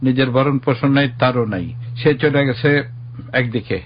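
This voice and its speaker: A man's voice: mid-range voice, measured pace, muffled recording, a little hiss or hum. A middle-aged man with a Bangladeshi accent, a guest earnestly giving a personal account and explaining himself defensively. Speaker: interview guest.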